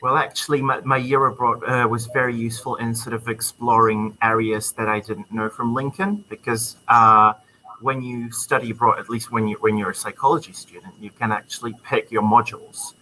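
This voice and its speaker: A man's voice: medium pitch, steady tone, moderate pace, sharp tone, slight background noise, poor audio. A young man from the Czech Republic with a Czech accent, a student guest talking enthusiastically in a casual interview, with plenty of ums. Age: young adult. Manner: friendly, enthusiastic, many ums. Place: university computer lab.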